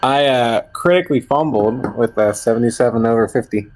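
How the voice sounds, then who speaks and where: A man's voice: medium pitch, a little fast, darkish tone, rough recording. A man playing a tabletop roleplaying game, chatting casually and loosely, searching for words and swearing now and then.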